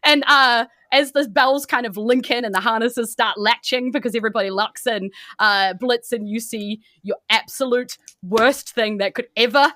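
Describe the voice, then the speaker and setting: A woman with a New Zealand accent narrating a tabletop game as game master, improvising in a casual, animated way. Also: a little high, normal speed, hardly any pauses, no room sound, clean studio sound.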